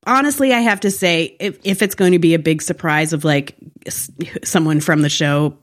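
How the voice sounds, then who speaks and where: Low-voiced woman chatting wryly. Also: normal speed. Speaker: podcast co-host. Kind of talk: casual TV recap chat.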